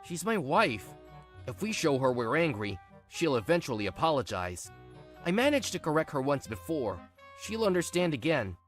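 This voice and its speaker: A woman acts out a smug young husband in a manga drama. The voice is pitched low and swings widely, whiny and dismissive. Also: sing-song, moderate pace, soft background music.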